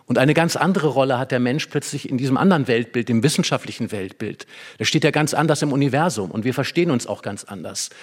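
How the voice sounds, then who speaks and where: A man's voice: medium pitch, fast talker, breathy edge. A man speaking as an expert guest in a conversation about literature, explaining in long, winding sentences. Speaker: expert guest discussing a play.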